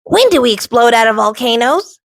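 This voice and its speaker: A woman performs an excitable young male rock from a children's storybook. The voice sits high and leaps about with dramatic, restless longing for adventure, close and dry. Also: a little fast, creaky, no echo, full character voice. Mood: excited, cheerful. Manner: dramatic, wide-eyed and excitable. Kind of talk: children's storybook read-aloud.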